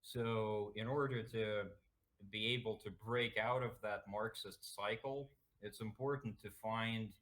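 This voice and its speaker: A man in his thirties from Russia, talking casually on a video call stream, hesitant with many fillers and throat clearing. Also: lowish voice, steady tone, a little slow, a bit bright, low-quality recording. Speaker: guest. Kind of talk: casual chat.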